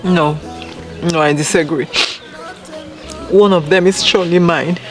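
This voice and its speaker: A woman's voice: lowish voice, fairly even intonation, measured pace, over music, natural voice. An actress with a Nigerian accent playing a bitter, self-pitying single woman in a melodramatic film, lamenting in repeated wails. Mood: bitter, aggrieved. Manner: wailing, repetitive lamenting.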